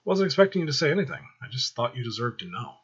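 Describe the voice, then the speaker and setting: A man voicing a caring, apologetic mother of teenage sons, gentle in manner, in a story read aloud. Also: mid-range voice, sing-song, fast, husky, dull audio, pitched above the performer's own voice.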